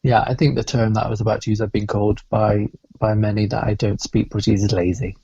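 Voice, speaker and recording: A man speaking as a guest in a casual interview, reflective and quick to laugh, heard over a dull remote call. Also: lowish voice, flat delivery, gravelly, mellow, breathy edge, no echo. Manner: reflective, laughs often, restarts phrases. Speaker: cruise entertainment director, guest.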